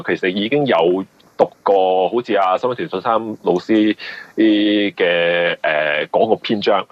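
A man with a Hong Kong Cantonese accent giving conversational interview answers, explaining things haltingly and often repeating words. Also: low voice, dry recording. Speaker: guest, mystery novelist. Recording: muffled, band-limited radio interview audio.

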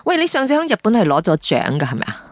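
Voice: mid-range voice, huge pitch swings, very fast, no echo. An interviewer hosting a casual, conversational radio chat and putting questions to a guest.

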